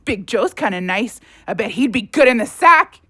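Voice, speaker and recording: A defiant, hurt young wife in an audio drama. Her voice is fairly high and bright, with a biting, sarcastic edge. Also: expressive, normal speed, slightly rough. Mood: defiant goading.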